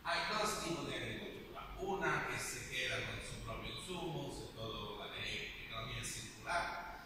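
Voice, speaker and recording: An older man from Italy with an Italian accent, giving a seminar lecture in halting speech, searching for words and chuckling now and then. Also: medium pitch, lively intonation. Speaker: guest lecturer. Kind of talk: academic seminar talk. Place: classroom hall with projector screen. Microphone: far camera in the audience. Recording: echoey room, distant, noisy camera.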